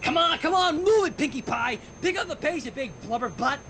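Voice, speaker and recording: A man's voice: extremely high pitch, expressive, fairly quick, pushed and bright, a little hiss or hum, pitched above the performer's own voice, full character voice. A man voicing a brash female pony personal trainer who barks and goads. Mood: goading. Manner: barking, goading.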